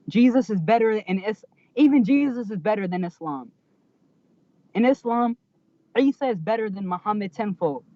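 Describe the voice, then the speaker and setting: A man's voice: sits high, darkish tone. A man from Iraq, a native Arabic speaker, calling in while driving and talking in casual, emphatic, slangy phrases that he often repeats. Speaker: caller to a live stream. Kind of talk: casual, unscripted debate. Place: inside a car while driving. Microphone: phone or internet call. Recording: muffled call audio with car noise.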